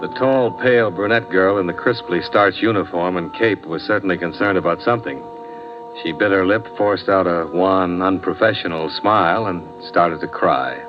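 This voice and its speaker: An actor playing a wry, self-assured insurance investigator in a 1950s radio drama, with a dry, clipped, hard-boiled delivery. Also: male voice, low-pitched, lightly acted. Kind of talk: 1950s radio drama acting, first-person noir narration. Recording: dull vintage radio recording, faint music.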